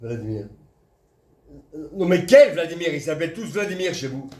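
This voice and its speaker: A man's voice: fairly high pitch, sing-song, moderate pace, darkish tone, echoey room, distant mic, voice pushed up. A man playing a teasing incredulous friend. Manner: teasing questions. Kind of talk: stage play excerpt.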